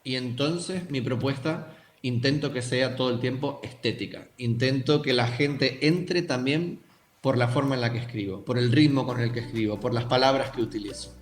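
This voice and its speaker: A man's voice: mid-range voice, normal speed, slight background noise. Young Canarian man, echoey room. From Canary Islands, Tenerife. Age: young adult. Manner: thoughtful, addresses listeners directly. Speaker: writer, interview guest. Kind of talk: reflective interview answers.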